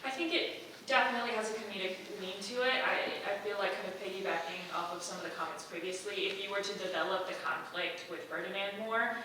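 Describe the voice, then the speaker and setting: A woman's voice: medium pitch, fast talker. A woman giving informal, constructive feedback as a member of an audience. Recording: very echoey room, distant mic, clear noise.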